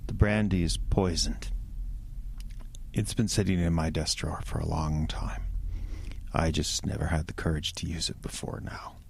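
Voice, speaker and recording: An actor playing a weary, despairing pastor who is losing his faith in a horror audio drama, speaking haltingly and earnestly. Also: extremely low pitch, flat delivery, creaky, slightly rough, full character voice. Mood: bleak, despairing.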